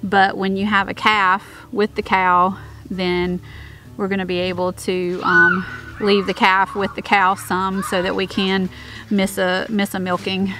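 A woman's voice: mid-range voice, level tone, a little slow, bright, forceful tone. A woman in her thirties talking casually outdoors on her homestead, with many fillers and restarts. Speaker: homestead vlogger. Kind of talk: casual chat.

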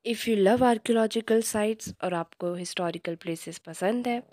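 A woman with an Indian accent narrates an informative travel guide in a measured, scripted way. Her pitch is average for a woman and English terms slip in often. The sound is dry, with no room around it. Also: a little mellow, dry recording.

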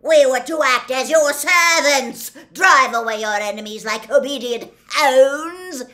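A woman's voice: mid-range voice, expressive, takes it slowly, pushed and bright, voice pushed up. A woman voicing a fierce, proud, angry male centaur in an audiobook, with bellowing, haughty delivery. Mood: furious scorn. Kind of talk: dramatic audiobook character voice.

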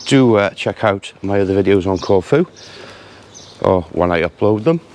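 A man casually narrating a walking tour outdoors on village streets, chatty and relaxed with frequent pauses to say "uh". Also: fairly low pitch, slight background noise. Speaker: travel vlogger.

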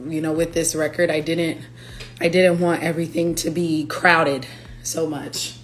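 A young woman, a singer-songwriter, chatting playfully on a livestream from her living room, her voice raspy from allergies. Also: low-pitched, level tone, measured pace. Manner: chatty, playful, breaks into lyrics. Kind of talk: casual livestream chat. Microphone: phone camera at arm's length.

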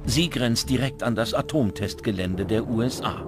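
A man reading scripted documentary narration with dramatic, measured phrasing. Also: mid-range voice. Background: background music.

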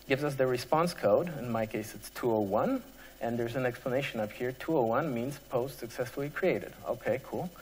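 Male conference presenter, medium pitch, halting technical delivery with frequent pauses, long hall reverb. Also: a little mellow, husky. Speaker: conference speaker.

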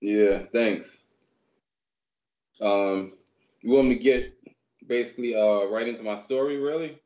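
A man in his thirties from Barbados, raised in New York, telling his story as a guest in a relaxed, rambling way on a video call. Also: fairly low pitch, unhurried, lo-fi sound. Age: 30s. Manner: relaxed, rambling, many fillers. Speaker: guest, personal trainer. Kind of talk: casual storytelling interview. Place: small room indoors. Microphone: video call webcam.

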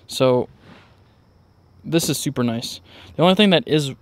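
A man's voice: medium pitch, unhurried. A man vlogging outdoors, narrating a casual walkaround in a rambling, informal way. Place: outdoors in a driveway beside a truck.

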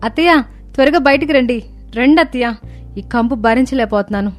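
A woman playing a cheerful, eager young city-bred daughter-in-law in an animated moral story. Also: high voice, a little fast, natural voice. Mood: distressed. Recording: music bed under the voice.

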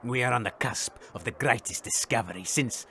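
An actor playing a grandiose, self-important investigative journalist in a comedy radio play, speaking in a pompous, dramatic way. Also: male voice, medium pitch, fairly quick, pushed and bright, slight background noise.

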